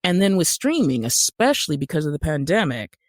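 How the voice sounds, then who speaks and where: Male podcast guest, higher register, emphatic and opinionated conversational delivery, dry recording. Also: very animated, a little fast, no echo.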